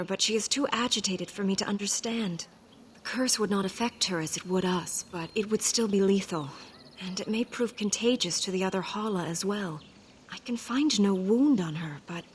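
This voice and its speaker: Woman to play devoted elven beast-keeper: gentle, earnest, measured formal speech, mid-range voice, fantasy game dialogue, faint background noise. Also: mid-range voice, lightly acted. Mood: worried.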